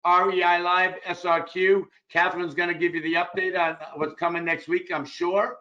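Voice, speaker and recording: A man's voice: high voice, little pitch movement, normal speed, sharp tone. A man hosting, casually making promotional announcements to wrap up, over lo-fi audio. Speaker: host. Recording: dull, lo-fi audio.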